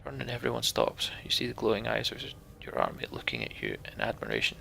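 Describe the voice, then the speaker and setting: Gravelly, bright male game narrator. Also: medium pitch, huge pitch swings, fast, faint music behind. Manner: casual, sarcastic asides with swearing. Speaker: let's play host. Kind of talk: reading game text aloud with asides.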